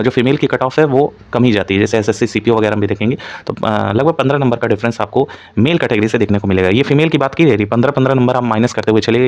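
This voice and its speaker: A man giving an informal analytical lecture as a coaching instructor, mixing in English terms and repeating points as he explains. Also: medium pitch. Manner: Hindi mixed with frequent English terms.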